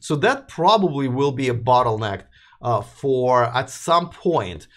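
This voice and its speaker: A man hosting a casual livestream talks in a loose, rambling way, full of "uh" and "you know" and sentences he starts over. His voice sits in a middle pitch and sounds a little worn out from too little sleep. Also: animated, measured pace. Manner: rambling, many fillers and restarts. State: tired, voice wearing out. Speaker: host of an electric car news livestream. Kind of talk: casual live chat, reading viewer comments.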